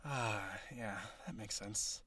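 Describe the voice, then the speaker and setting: A man's voice: on the low side, slow, bright, forceful tone, husky, no echo, heavily acted. A man playing a cocky, flirtatious businessman villain on a date in an audio roleplay, with a sardonic, teasing, conversational delivery. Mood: flirty, teasing.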